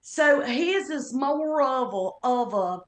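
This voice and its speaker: A woman's voice: high voice, lively intonation, slow pace, echoey room. Perky Southern woman in her fifties. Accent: Southern US, Appalachian. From North Carolina Appalachians. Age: 50s. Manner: chatty, perky storyteller. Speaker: comedian guest. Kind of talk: casual podcast chat.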